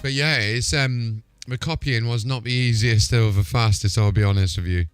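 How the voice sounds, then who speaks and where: A man's voice: mid-range voice, normal speed, smooth. Man chatting on a game stream, music behind. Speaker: live streamer hosting a gaming stream. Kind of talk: casual live-stream chat with gameplay and troubleshooting.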